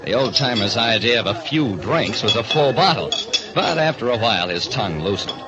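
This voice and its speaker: A man's voice: on the low side, expressive, moderate pace, very noisy. A man with an American accent giving dramatic, hard-boiled narration as a detective in old-time radio style. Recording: dull, band-limited recording.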